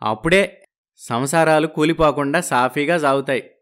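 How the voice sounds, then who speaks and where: A man's voice: on the high side, normal speed, a bit bright, lightly acted. A man playing a genial, food-loving village brother-in-law in a moral story, effusive and full of exclamations. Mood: earnest.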